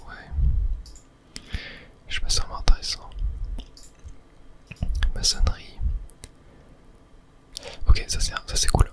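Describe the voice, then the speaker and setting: A person whispering ASMR game commentary, thinking aloud to viewers with hesitant pauses. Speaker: gaming video host.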